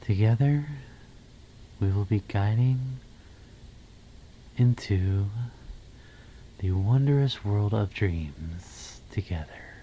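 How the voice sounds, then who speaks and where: A man with a very dark, mellow voice leads a guided ASMR meditation. He speaks very slowly and softly, often slipping toward a whisper, in a gentle, soothing manner. His pitch sits low, and short phrases are set apart by pauses. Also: expressive, slight background noise. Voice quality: soft, breathy, half-whispered. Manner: soothing, slow, gentle, pausing between phrases. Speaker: ASMR host guiding meditation.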